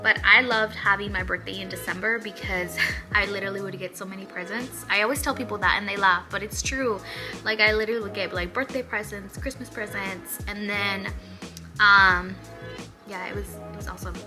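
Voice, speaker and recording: A woman's voice: medium pitch, fairly even intonation, fairly quick, music in the background. A woman in her twenties from Mexico, chatting upbeat and rambling through a get-to-know-me vlog. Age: 20s. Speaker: hairstylist vlogger. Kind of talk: casual Q&A vlog.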